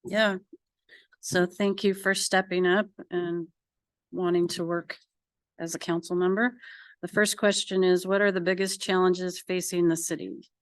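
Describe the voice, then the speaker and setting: A woman's voice: on the low side, little pitch movement, a little slow. A woman at a public meeting, putting prepared questions to a candidate in a formal way. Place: council meeting room.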